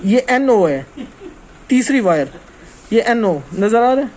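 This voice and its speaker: A man's voice: very high voice, sing-song, slow pace, darkish tone. A man giving an informal hands-on technical lesson as a trainer, explaining step by step and often checking that listeners follow.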